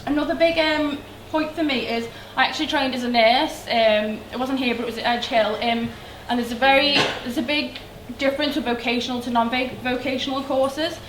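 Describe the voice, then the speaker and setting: A woman's voice: sits high, steady tone, a little fast, hardly any pauses. Earnest Liverpool woman in echoey hall. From Liverpool, England. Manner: earnest, many ums and restarts. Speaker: election candidate. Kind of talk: hustings speech. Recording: echoey hall, faint background noise.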